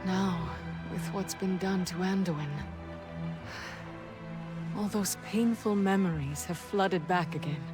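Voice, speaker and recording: A woman's voice: fairly low pitch, slow, pauses often. An actress playing a sincere, grieving noblewoman in a fantasy game, earnest and subdued. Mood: sorrowful. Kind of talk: fantasy game cutscene acting. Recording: music behind the voice. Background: game score music.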